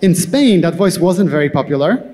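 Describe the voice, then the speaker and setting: Higher-pitched man speaking in an echoey hall. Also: expressive, mellow. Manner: halting, repeats words as he explains. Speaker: tech company co-founder, panel guest. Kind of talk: on-stage interview answers. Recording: echoey hall with long reverb.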